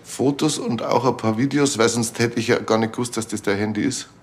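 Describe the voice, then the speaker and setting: An actor playing a cheeky, unbothered, nosy café worker who talks in a casual, teasing way. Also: male voice, medium pitch, fairly even intonation, a little fast, slight background noise, natural voice. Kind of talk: TV crime comedy dialogue.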